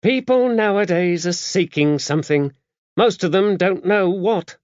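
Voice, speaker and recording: A man voicing a gruff, stern, worldly-wise older nun with a brusque manner, in an audiobook. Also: sits high, a little slow, darkish tone, no echo, highs cut off, voice pushed up. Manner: stern, brusque, matter-of-fact. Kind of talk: audiobook character dialogue.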